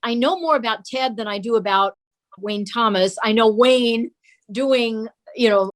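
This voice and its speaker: A woman's voice: on the high side, measured pace. An older woman talking as an interview guest, recalling family memories conversationally. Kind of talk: reminiscing in conversation.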